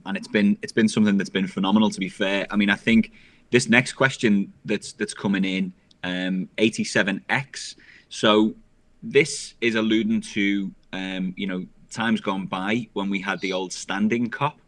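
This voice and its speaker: A man co-hosting a podcast interview, reading out listener questions in a brisk, conversational way. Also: lowish voice, fairly even intonation, fairly bright tone. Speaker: podcast co-host.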